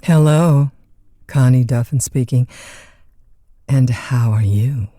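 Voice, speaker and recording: A woman's voice: rumbling low, slow, background noise. A woman playing a chatty, lonesome overnight disc jockey in an audio drama, with a folksy, polite, hesitant conversational manner. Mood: friendly, obliging. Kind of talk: naturalistic audio drama acting, one side of phone calls.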